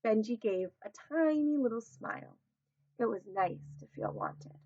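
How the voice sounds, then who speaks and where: Female read-aloud narrator for children's picture books, unhurried, very expressive, close dry sound. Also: fairly high pitch, slightly rough, dry recording, muffled recording. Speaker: teacher reading aloud.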